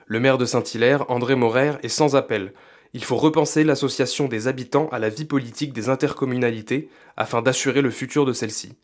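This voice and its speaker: Male narrator, average pitch, scripted news report delivery. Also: fairly quick.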